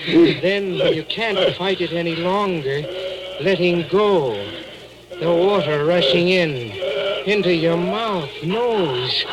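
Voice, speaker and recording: An actor playing the vengeful ghost of a drowned man, taunting and deliberate in 1940s radio horror style. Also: high-pitched, heavy background noise. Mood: eerie calm. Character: vengeful drowned male ghost, calmly menacing. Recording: vintage 1940s radio recording.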